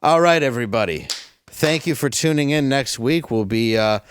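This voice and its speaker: A man with a deep, bassy voice hosting a casual comedy podcast and tossing out dry, jokey asides. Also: lively intonation, normal speed. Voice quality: deep bass voice. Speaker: podcast host.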